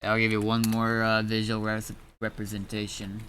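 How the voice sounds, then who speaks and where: A man chatting casually in an online roleplay game, in a halting, rambling and informal way. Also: on the low side, monotone, fairly bright tone, slight background noise. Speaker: streamer playing a gang leader in online roleplay.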